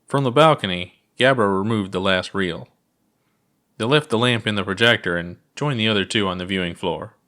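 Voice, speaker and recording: A man reading his own written story as an unhurried, measured narrator. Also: lowish voice, pushed and bright.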